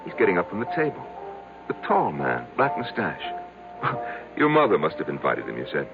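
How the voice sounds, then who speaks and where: An actor playing a broken, insecure middle-aged husband in a 1970s radio drama, halting and anguished, repeating his words. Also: deep voice, huge pitch swings, full character voice. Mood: anxious, agitated. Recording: muffled old radio broadcast with music.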